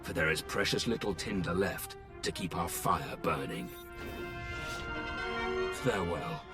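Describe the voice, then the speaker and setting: An actor playing a grim, proud and weary Sith commander in a video game, speaking in a solemn, commanding and deliberate way. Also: male voice, low-pitched, animated, creaky, heavily acted. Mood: solemn, grave. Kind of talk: dramatic game voice acting. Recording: loud music behind the voice.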